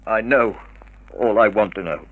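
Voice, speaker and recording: An actor playing a proud, bitter wronged husband, formal and commanding, in vintage radio drama style. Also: male voice, fairly low pitch, normal speed, no room sound, narrow, tinny audio, lightly acted. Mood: cold, bitter. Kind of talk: 1950s radio drama acting.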